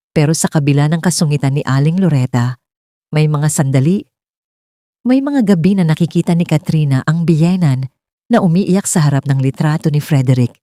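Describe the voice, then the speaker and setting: A woman's voice: low voice, warm tone, dry recording, studio quality. A woman narrating a scripted dramatic romance story.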